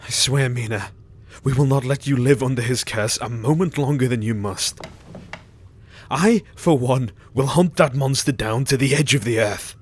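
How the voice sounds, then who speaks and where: A man's voice: mid-range voice, normal speed, husky, close to an everyday voice. An actor playing a loyal, vengeful male hunter who speaks solemnly in an audio drama. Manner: solemn and oath-swearing.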